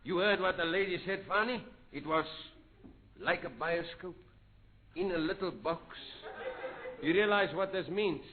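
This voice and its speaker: An actor playing a self-important police sergeant in a radio comedy sketch. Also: male voice, sits high, unhurried, slight background noise. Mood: blustering. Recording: tinny lo-fi radio broadcast.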